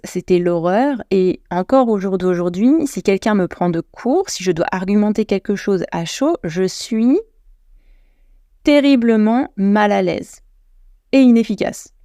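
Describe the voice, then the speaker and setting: A woman's voice: medium pitch, mellow, no room sound, clean studio sound. A French woman hosting her own podcast, chatting at length, often correcting herself and searching for words. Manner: chatty, self-deprecating, many restarts and self-corrections. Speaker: podcast host. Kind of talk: solo podcast monologue.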